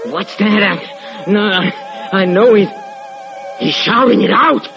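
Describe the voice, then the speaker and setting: An actor playing a haunted, paranoid man sliding into madness, halting and repeating himself in old-time radio horror style. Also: high-pitched, creaky, over music, full character voice. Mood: frenzied. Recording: hissy, muffled old radio recording.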